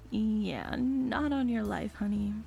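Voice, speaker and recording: A woman's voice: fairly high pitch, takes it slowly, vocal fry, a little mellow, a little hiss or hum, full character voice. A woman playing a sly, sweet-talking con artist in an audio drama, with a sassy, teasing, self-assured delivery. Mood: amused, dismissive. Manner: sassy, drawling terms of endearment, teasing.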